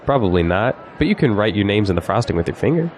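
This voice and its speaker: An actor playing a confident, sarcastic young college graduate in an audio drama, with wry, joking delivery. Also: male voice, on the low side, big pitch swings, fast talker, a little mellow. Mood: wry, casual. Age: young adult. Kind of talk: scripted audio drama dialogue. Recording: faint background noise, outdoor crowd ambience.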